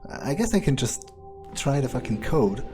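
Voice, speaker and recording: A man's voice: mid-range voice, big pitch swings, normal speed, music in the background, close to an everyday voice. A man playing a detached, blunt vampire investigator, musing hesitantly in character during a video game playthrough. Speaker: game player narrating in character. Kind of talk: improvised in-character playthrough, thinking aloud.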